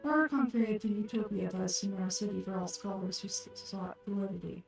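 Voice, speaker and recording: A woman puts on a stern academic official from a fantasy game. The delivery is formal and pompous, full of contempt for lesser people. The tone stays mellow, with music underneath. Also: mid-range voice, fairly even intonation, brisk, gravelly, pitched below the performer's own voice, close to an everyday voice. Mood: contemptuous. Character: stern, haughty official scholar, contemptuous and threatening.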